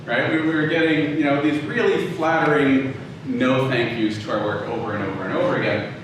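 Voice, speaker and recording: A man's voice: mid-range voice, fairly even intonation, brisk. A man giving an informal lecture in a conversational, emphatic way, telling a personal story and checking in with his listeners. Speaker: audio drama producer giving a lecture. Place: live talk in a reverberant room. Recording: echoey room from far off, clear noise.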